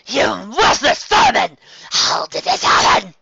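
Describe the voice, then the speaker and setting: A man's voice: extremely high pitch, wildly swooping pitch, measured pace, pushed and bright, no echo, lo-fi sound, voice pushed up, full character voice. A man voicing a cruel young stallion villain, berating and growling as an imperious leader in a fantasy story. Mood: furious.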